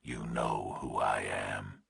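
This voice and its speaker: A menacing American kidnapper on the phone, as a male narrator puts him on, speaking in an extremely deep, gravelly whisper. He is patient and in command, stretching out every word with cold calm in a thriller audiobook. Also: no room sound, clean studio sound, full character voice. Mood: calm menace. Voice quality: slow, deep, gritty, whispery.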